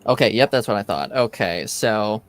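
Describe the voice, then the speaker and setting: A man running a tabletop role-playing game, talking in a halting way with lots of fillers. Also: fairly low pitch, lively intonation. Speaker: game master.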